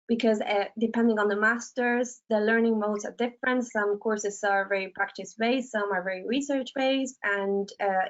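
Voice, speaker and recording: A young Argentine woman hosting a student panel in a webinar. Her voice sits in a middle pitch and she explains things steadily, with many filler sounds. The audio is dull and lo-fi, like a video call. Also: a bit bright. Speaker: panel moderator, film graduate.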